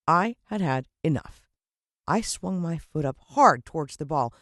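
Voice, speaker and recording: American female storyteller for children's audiobook: highly varied pitch, dramatic emphasis, close dry sound. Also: no echo. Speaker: audiobook narrator. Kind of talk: expressive children's story narration.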